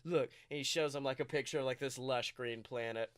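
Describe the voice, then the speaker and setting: A man's voice: mid-range voice, fairly even intonation, brisk, fairly bright tone, clean tone. A man co-hosting a podcast, improvising a comic story in an informal, self-amused, sweary way. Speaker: podcast co-host.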